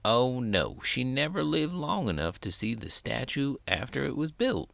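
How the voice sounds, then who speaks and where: A man's voice: medium pitch, very animated, moderate pace, vocal fry, bright, forceful tone, no echo. A man reading a children's book aloud as a host, with dramatic, emphatic delivery. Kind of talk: expressive storybook read-aloud.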